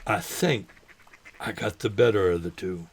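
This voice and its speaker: Slow-talking, sentimental old man. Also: on the low side, creaky, a little hiss or hum. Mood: teasing. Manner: slow, halting, folksy. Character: ailing old man, sentimental lifelong fishing buddy, gently joking. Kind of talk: audio drama acting, folksy dialogue.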